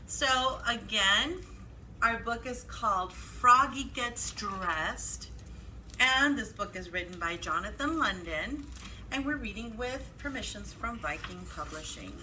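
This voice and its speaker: A woman's voice: mid-range voice, sharp tone, a little hiss or hum, rough recording. A woman hosting a children's library storytime, speaking in an exaggerated sing-song way for young children. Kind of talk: children's storytime, read-aloud and singalong.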